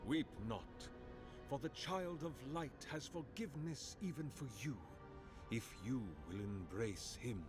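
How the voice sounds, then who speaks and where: Actor playing an old devout oracle priest, slow and grave, game voice over music. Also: male voice, medium pitch, expressive, lightly acted. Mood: solemn. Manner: grave, measured, archaic phrasing. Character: ancient solemn male oracle priest, lonely, devout.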